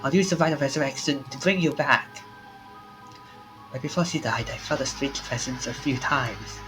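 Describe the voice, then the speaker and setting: A man's voice: medium pitch, moderate pace, close to an everyday voice. A man reading as a shaken young man. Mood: grieving, resolved. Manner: stammering, panicky, then quietly resolved. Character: frightened young man, friend has collapsed. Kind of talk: reading a visual novel aloud. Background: game music.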